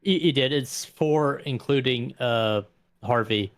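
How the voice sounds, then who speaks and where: A man casually narrating a tabletop game in a halting, informal way. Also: medium pitch.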